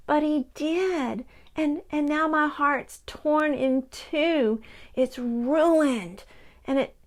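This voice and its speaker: A woman voicing a sad, tearful little boy puppy puppet who talks in halting bursts. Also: medium pitch, vocal fry, a little mellow, heavily acted.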